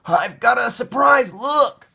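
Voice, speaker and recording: A man voicing an eager, sweet and excitable little boy in a children's storybook. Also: very high voice, takes it slowly, vocal fry, husky, shouting, voice pushed up, exaggerated voice. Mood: happy, excited.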